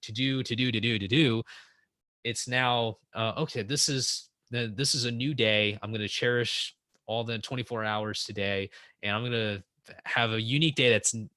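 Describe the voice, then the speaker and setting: A man's voice: medium pitch, fairly even intonation, normal speed, pushed and bright, dry recording. A young man speaking as a podcast guest in casual conversation, rambling with many restarts and fillers.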